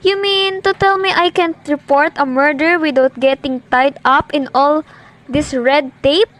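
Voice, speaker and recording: A woman's voice: high voice, fairly even intonation, a little slow, fairly bright tone. A young woman playing an imperious, nervous married lady in an amateur radio play, demanding and impatient. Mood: indignant. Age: teenager to young adult. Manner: demanding, agitated, impatient, haughty. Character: imperious, nervous, indignant married woman reporting an overheard murder plot.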